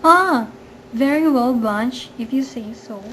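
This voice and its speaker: A woman's voice: fairly high pitch, slow pace. A woman playing a timid, trusting woman in an amateur radio drama, anxious, hesitant and pleading. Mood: fearful, reluctant. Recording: faint background noise, amateur recording.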